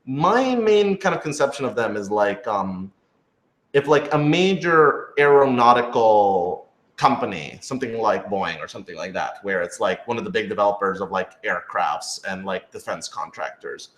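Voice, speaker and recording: A man's voice: fairly low pitch, animated, moderate pace, fairly bright tone. Guy writer doing a casual q&a, rambly, says like a lot, roomy echo on it. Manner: rambling, heavy use of "like". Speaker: writer and producer of an audio drama. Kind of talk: casual Q&A chat. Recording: roomy, echoing indoor sound.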